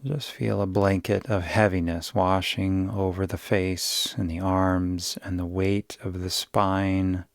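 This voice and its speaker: A man leading a guided yoga nidra meditation, giving gentle, soothing instructions in an unhurried way. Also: deep voice, flat delivery, creaky, no room sound, clean studio sound.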